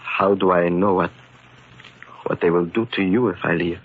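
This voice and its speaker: An actor playing a bewildered, earnest immigrant shoemaker in a radio drama, speaking formally and carefully. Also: male voice, low voice, steady tone, normal speed, natural voice. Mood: resigned, sorrowful. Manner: formal, careful speech without contractions. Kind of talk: old-time radio drama acting. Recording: muffled, noisy vintage radio recording.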